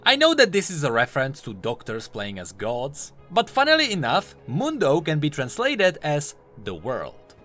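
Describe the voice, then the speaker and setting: A man's voice: fairly high pitch, huge pitch swings, moderate pace, few pauses, sharp tone. A man hosting a video, giving scripted commentary on a game character in an explainer style. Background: music.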